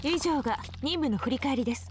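A woman voicing a dutiful young policewoman in a Japanese anime game, with a crisp, formal manner. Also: high voice, lively intonation, racing, background noise. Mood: brisk, official. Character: brisk, dutiful young policewoman who turns flustered about family. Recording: game trailer audio with music.

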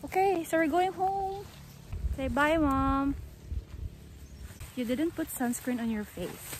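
High-voiced young Filipino woman vlogging outdoors. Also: takes it slowly, slight background noise. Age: young adult. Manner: code-switches between English and Filipino languages. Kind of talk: casual, spontaneous vlog chat. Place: outdoors on a rural farm. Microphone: phone at arm's length.

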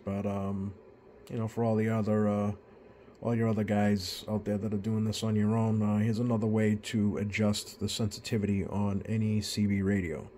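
A man giving an informal, slightly halting hands-on tutorial as a radio repair hobbyist at a workbench. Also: fairly low pitch, dark tone. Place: indoor electronics workbench. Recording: clearly noisy workshop recording. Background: clear background noise.

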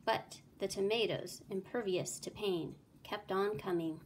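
A woman giving an expressive read-aloud of a children's storybook, like a teacher, with clear background noise. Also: mid-range voice, a little slow.

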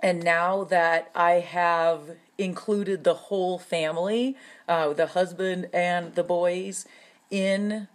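A woman's voice: lowish voice, steady tone, takes it slowly. Chatty woman giving home cooking tips. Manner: chatty, frequent "okay?" check-ins and "um" fillers. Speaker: meal prep coach. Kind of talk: casual instructional talk. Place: home kitchen, indoors.

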